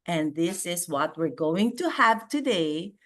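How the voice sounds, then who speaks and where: Female podcast host, warm and effusive, unhurried lowish voice, video call audio. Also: animated. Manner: warm, slow, effusive with frequent laughs. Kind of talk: casual interview chat. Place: home in Virginia, on a video call.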